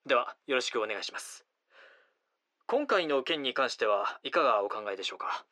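News anchor for audio drama: formal, polite, crisp bright tone, lively intonation. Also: male voice, medium pitch, brisk. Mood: businesslike. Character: brisk male television news anchor.

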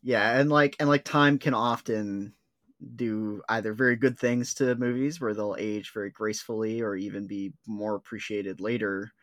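A man's voice: fairly low pitch, steady tone, normal speed, fairly bright tone, no echo, clean studio sound. A man co-hosting a podcast, chatting casually about movies with many fillers like "like" and "uh". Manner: rambling, lots of "like" and "uh". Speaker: podcast co-host.

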